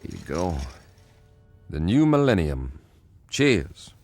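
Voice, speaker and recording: Radio drama cynic: low gravelly voice, wry codebreaker, very animated phrasing. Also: male voice, takes it slowly, over music, heavily acted. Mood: sardonic, bemused. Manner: sardonic, conversational. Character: wry, world-weary male government codebreaker telling his story. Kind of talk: radio drama narration and dialogue.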